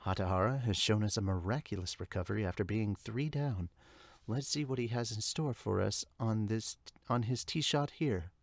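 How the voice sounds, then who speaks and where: A man's voice: on the low side, normal speed, creaky, no echo. A man hosting a podcast, chatting informally and directly to his viewers. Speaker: host of a manga reaction podcast. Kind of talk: casual chat to viewers and manga read-aloud with commentary.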